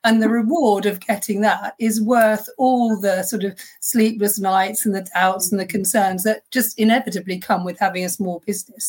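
Slightly husky woman, middle pitch, earnest founder giving a reflective interview answer. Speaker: small business co-founder.

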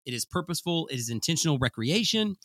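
A man co-hosting a podcast, chatting casually in a playful, excitable way with sing-song emphasis. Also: fairly high pitch, fast, no echo, studio quality. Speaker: podcast co-host.

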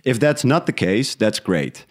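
A man from the Netherlands with a fairly low voice speaks as an interview guest. His talk is casual and reflective, like an unscripted conversation. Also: very animated, unhurried. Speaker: interview guest, audio drama creator.

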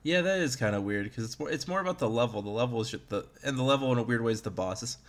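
A man's voice: mid-range voice, lively intonation, fast. A man in his early thirties chatting casually as a podcast host about video games, in a rambling, self-deprecating way. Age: early 30s.

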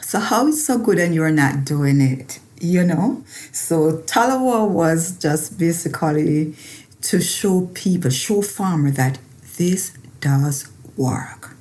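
A woman's voice: low-pitched, lively intonation, slow, a little mellow. A woman from Jamaica with a Jamaican accent, a farmer and researcher talking personally in an interview and repeating phrases for emphasis.

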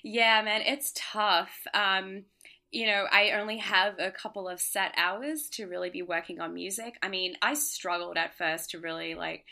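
A young woman, a DJ and producer from Australia and Singapore, chatting casually as an interview guest, relaxed with frequent laughs and fillers. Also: mid-range voice, unhurried, vocal fry.

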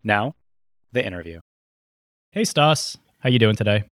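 A man's voice: on the low side, unhurried, no room sound, studio quality. A man hosting a podcast, reading a scripted intro and then chatting playfully with his guest. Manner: animated, playful delivery. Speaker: podcast host.

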